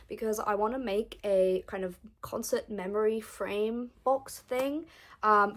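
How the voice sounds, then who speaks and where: Young woman, hoarse and gravelly after losing her voice, excitable and gushing in a casual vlog. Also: on the high side. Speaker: vlogger.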